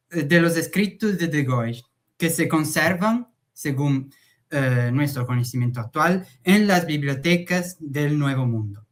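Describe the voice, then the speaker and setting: Slow, hesitant male academic speaker. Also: fairly high pitch. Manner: slow, hesitant, frequent "eh" fillers. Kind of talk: conference talk.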